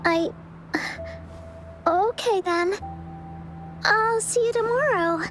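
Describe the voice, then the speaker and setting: Gentle little girl game character, high voice, takes her time, earnest, music underneath. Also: slow. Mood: hesitant. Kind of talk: video game voice acting.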